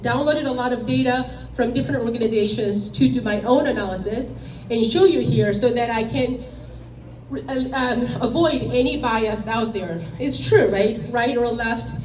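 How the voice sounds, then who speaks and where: A middle-aged woman from South Korea with a Korean accent, giving a loud, emphatic presentation to a meeting audience. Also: a little high, moderate pace. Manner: loud, emphatic, direct and plain-spoken. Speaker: guest speaker. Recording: echoey room from a distance with faint noise.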